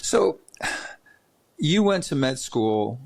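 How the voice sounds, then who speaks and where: Gravelly-voiced man hosting a podcast. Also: fairly high pitch, sing-song, extremely slow, darkish tone. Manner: rambling storyteller, chatty, many restarts. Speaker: podcast host. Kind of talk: casual interview chat.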